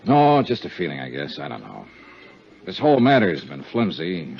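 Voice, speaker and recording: Hard-boiled American radio detective, low-voiced. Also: male voice, wildly swooping pitch, moderate pace, vocal fry, a little hiss or hum, full character voice. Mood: brisk, serious. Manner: clipped, punchy storytelling. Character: hard-boiled, wry insurance investigator, adult man. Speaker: lead actor narrating and playing an investigator. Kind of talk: 1950s radio detective drama acting, narrated expense account. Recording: dull 1950s radio recording with music.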